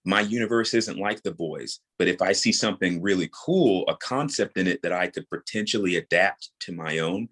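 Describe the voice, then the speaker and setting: Very deep-voiced man in his thirties. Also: fairly bright tone. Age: 30s. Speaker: interview guest, audio drama creator and voice actor. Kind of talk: conversational interview.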